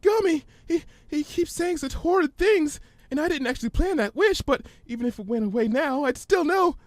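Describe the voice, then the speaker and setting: A man voicing a timid, anxious young female pony in a story, with halting, stammering speech. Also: extremely high pitch, expressive, no echo, voice pushed up. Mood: distressed. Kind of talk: storybook character acting.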